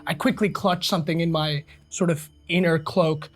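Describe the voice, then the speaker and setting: A man's voice: on the high side, fairly even intonation, normal speed, faint music behind. A man playing a tabletop fantasy game, casually describing what his character does.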